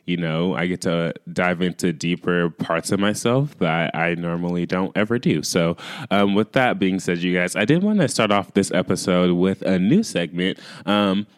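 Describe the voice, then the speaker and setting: A man with a very low voice, smooth and resonant despite a lingering rasp from recovering his voice. He chats casually to his podcast listeners, leaning on fillers and friendly asides. The sound is close and dry. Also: no room sound. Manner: chatty, filler-heavy, addresses listeners as "you guys". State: recovering from lost voice, raspy. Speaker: podcast host. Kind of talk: casual solo podcast chat.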